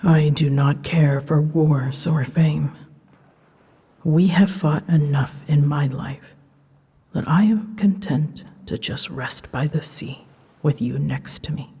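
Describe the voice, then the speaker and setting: A woman playing an ancient female dragon in a fantasy ASMR drama, solemn and tender, speaking softly in measured, formal phrases and often near a whisper. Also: deep voice, heavily acted. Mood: tender, earnest. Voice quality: soft, breathy, husky, often dropping into a whisper. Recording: long cavernous reverb.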